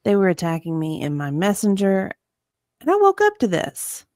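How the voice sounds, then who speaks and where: Woman, livestream guest, animated conversational delivery, swooping pitch, unhurried, dry close sound. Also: no room sound. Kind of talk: dramatic deposition reading and casual chat.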